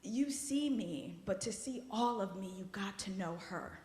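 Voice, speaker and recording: A woman's voice: mid-range voice, lively intonation, measured pace, a little mellow. Heartfelt woman speaking in an echoey sanctuary. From United States. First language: Haitian Creole. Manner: heartfelt, storytelling, laughs often. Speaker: guest speaker. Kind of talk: personal testimony sermon. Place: church sanctuary. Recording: echoey hall, long reverb.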